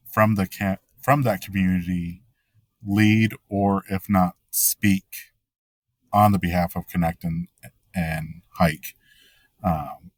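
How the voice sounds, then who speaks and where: Slow, deep-voiced American man, Spanish first. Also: a little mellow, no echo. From United States. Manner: slow, measured, thoughtful. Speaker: podcast guest. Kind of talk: interview answers.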